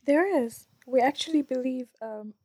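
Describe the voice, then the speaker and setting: A woman's voice: sits high, expressive, takes it slowly, a bit bright, slightly rough, no echo, clean studio sound. A woman from Tanzania, a guest on a casual podcast, giving blunt, emphatic opinions.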